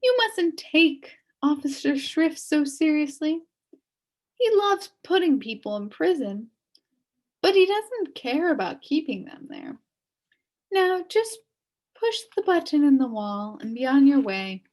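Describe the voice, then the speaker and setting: Woman reader as old storybook witch, kindly and sad, high lilting pitch, gently resigned, very animated. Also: slow, exaggerated voice. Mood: brisk, cheerful.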